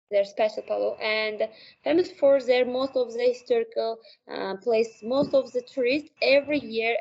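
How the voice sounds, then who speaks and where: A young woman from Uzbekistan, a medical student whose first language is Uzbek, answering in halting English with many fillers and small laughs. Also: fairly high pitch, a little mellow, lo-fi sound. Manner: hesitant, many uh fillers, laughs between phrases.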